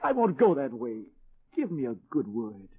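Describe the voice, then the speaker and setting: An actor playing an earnest, hesitant eighteen-year-old dreamer, pleading and stammering, in 1940s radio drama style. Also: male voice, mid-range voice, wildly swooping pitch, moderate pace, slightly rough, full character voice. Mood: pleading, torn. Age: young adult. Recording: thin, tinny old-time radio recording.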